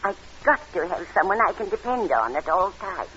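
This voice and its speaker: An actress playing a trusting, doting elderly invalid aunt in a vintage radio drama, on a dull old recording. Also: female voice, on the low side, very animated, normal speed, a bit bright, slight background noise. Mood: fond, fretful. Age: older. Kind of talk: 1940s-50s radio thriller acting.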